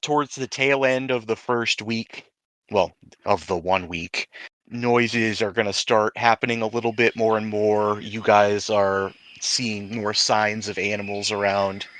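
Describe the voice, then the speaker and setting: Guy game mastering a tabletop rpg, lowish voice, close dry sound. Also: steady tone, measured pace, fairly bright tone, no room sound. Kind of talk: narrating a tabletop roleplaying game.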